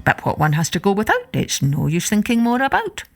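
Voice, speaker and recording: A smooth-talking vagabond trickster man, played by a female storyteller in a folktale. He muses and coaxes in sententious phrases with a lively, swinging intonation, over clearly audible music. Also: medium pitch, a little fast, full character voice. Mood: musing. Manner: musing, coaxing, sententious. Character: wily male tramp, smooth-talking sly trickster.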